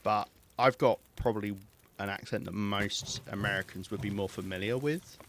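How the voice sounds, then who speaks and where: A man with a southern English accent, chatting casually and self-mockingly while streaming a game, with a calm, soothing voice. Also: lowish voice, normal speed, slight background noise. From southeast England. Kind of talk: casual gameplay chat.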